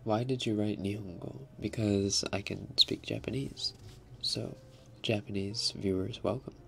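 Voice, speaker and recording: A young man hosting an ASMR live stream, answering chat in a soft, half-whispered, slightly raspy voice and laughing often. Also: on the low side, steady tone, measured pace, a little hiss or hum. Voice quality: a little raspy.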